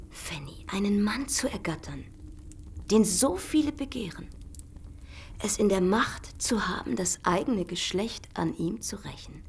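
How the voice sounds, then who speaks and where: A woman's voice: mid-range voice, full character voice. An actress playing a worldly young society woman in a German radio drama, speaking in an intimate, teasing, half-whispered way. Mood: teasing, knowing. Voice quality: breathy, often half-whispered.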